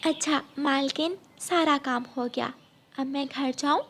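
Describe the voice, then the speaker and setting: A woman voicing a gentle, put-upon mother bird in a cartoon, polite and plaintive. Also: high voice, a little slow, a little hiss or hum, voice pushed up. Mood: meek. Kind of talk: Hindi moral cartoon story dialogue.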